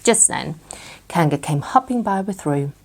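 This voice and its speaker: A woman narrating a children's bedtime story as an expressive storyteller. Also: on the low side, measured pace, slight background noise.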